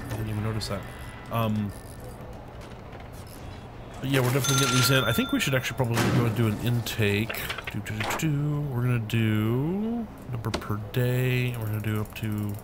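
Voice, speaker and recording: A man hosting a game let's play, rambling as he thinks aloud and humming to himself, his voice a bit scratchy from being ill. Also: on the low side. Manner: rambling, thinks aloud, hums filler tunes. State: recovering from illness, a bit scratchy. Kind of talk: casual gameplay commentary.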